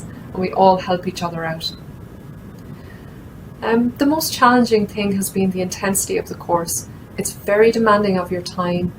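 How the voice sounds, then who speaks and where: A woman's voice: fairly low pitch, noisy background. A young woman, talking steadily and earnestly about her studies into a laptop webcam at home. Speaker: master's student. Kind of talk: prepared testimonial talk. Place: room at home with shelves.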